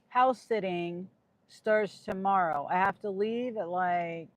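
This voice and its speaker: A middle-aged woman with a Midwest American accent, chatting on a livestream from her car and reading viewer chat aloud. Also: lowish voice. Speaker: gig worker livestreamer. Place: inside a car. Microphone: phone on a dashboard mount.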